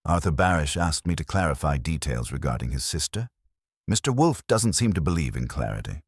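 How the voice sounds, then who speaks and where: Man voicing a cool, assured woman publicist, low-voiced. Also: a little fast, breathy edge, no room sound, studio quality, heavily acted. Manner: even, composed, assertive.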